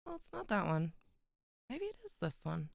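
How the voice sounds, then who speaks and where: Young woman streamer, voice a bit hoarse, reads old stories aloud, laughs at her own slips, dry close mic. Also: fairly low pitch, wildly swooping pitch, moderate pace, no echo. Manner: giggly, chatty, stumbles over foreign words. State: slightly rough, hoarse voice. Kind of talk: casual chat and literary reading aloud.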